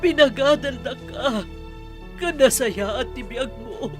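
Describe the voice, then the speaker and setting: A woman's voice: high-pitched, a little slow, over music. A woman with an Ilocano accent playing an anguished mother reproaching her son in a radio drama. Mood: tearful reproach. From Philippines.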